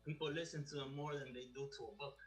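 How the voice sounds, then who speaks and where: A man's voice: fairly high pitch, level tone, fast. A man from Miami chatting casually as a participant on a live stream. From Miami, United States. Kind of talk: casual chat. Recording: music under the voice. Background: music.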